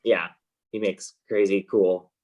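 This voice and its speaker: A man's voice: sits low, flat delivery, takes it slowly, darkish tone, lo-fi sound. A man chatting casually as an interview guest, in a rambling, understated, laid-back way. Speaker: interview guest, comic book artist. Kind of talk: casual chat.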